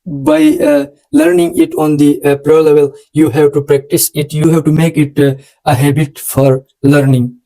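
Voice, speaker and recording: Halting Urdu-accented man, flat delivery. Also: fairly high pitch, low-quality recording. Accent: Urdu-accented English. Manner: halting, full of hesitant fillers. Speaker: host of an English-learning channel. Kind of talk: informal advice to viewers.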